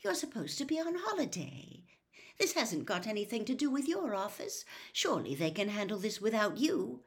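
A woman voicing a distraught middle-aged mother, high and loud, sobbing with worry. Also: very animated, normal speed. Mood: pleading. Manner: high, loud, breathless pleading.

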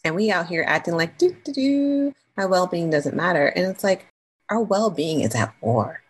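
Woman podcast guest, low husky gravelly voice, animated and slangy storyteller. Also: moderate pace. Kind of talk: casual interview chat.

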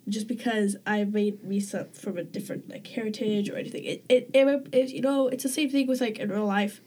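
A woman with a mellow, warm tone speaks as a guest in a casual podcast conversation. She talks haltingly, circling back with fillers and restarts as she works through her thoughts. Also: mid-range voice, fairly even intonation. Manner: hesitant, with many fillers and restarts. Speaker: guest community member.

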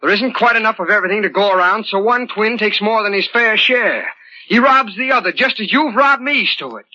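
A bitter, lovesick twin brother in a 1940s radio thriller. His voice is piercingly tense and husky, brooding with self-pity, on a dull vintage broadcast recording. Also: high voice, lively intonation, a little fast, pushed and bright, full character voice. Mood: feverish resentment. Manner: intense, brooding, self-pitying. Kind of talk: 1940s radio drama acting.

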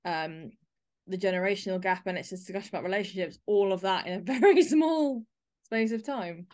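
A woman's voice: medium pitch, a little fast, darkish tone. A woman speaking as the host of an arts podcast, interviewing and presenting.

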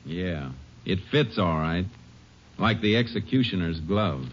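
An actor playing a calm, methodical American lawman in an old-time radio drama, speaking in a dry, courteous, folksy way. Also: deep voice, measured pace, slight background noise. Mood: thoughtful. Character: calm, methodical male Texas lawman investigating a murder. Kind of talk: old-time radio drama acting and first-person narration. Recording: muffled vintage radio recording with music.

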